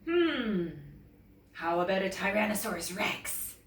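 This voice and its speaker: A woman's voice: medium pitch, big pitch swings, measured pace, roomy sound, distant mic. Woman reading as an easygoing, kindly storybook dad. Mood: gentle, easygoing. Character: kindly, easygoing, soft-hearted father.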